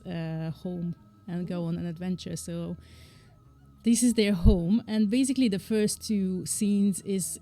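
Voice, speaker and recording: A woman's voice: medium pitch, dark tone. A woman from Hungary, an indie game developer, chatting casually on a livestream with frequent fillers and light laughs. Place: home, living in the UK.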